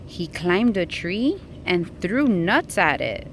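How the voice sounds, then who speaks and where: A woman reading a picture book aloud to a child in an expressive, engaging way, with questions for the listener. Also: lowish voice, slow pace, slight background noise.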